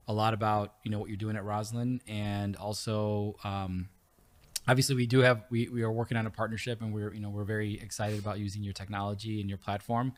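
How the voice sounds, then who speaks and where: A man hosting an interview podcast, asking loose, rambling questions full of fillers. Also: lowish voice. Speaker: podcast host. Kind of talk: conversational interview.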